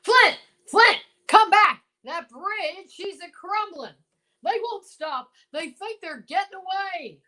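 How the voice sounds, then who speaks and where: A woman's voice: very high voice, animated, slow, pushed and bright, exaggerated voice. A woman playing a determined, blustering male marshal in an old-time radio serial, with an emphatic, folksy, commanding delivery. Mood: alarmed.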